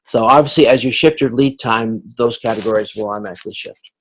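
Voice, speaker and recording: A man giving an informal explanatory lecture as a class instructor, talking conversationally and haltingly as he thinks aloud through everyday examples. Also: medium pitch, rough recording. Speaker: instructor leading a class video conference.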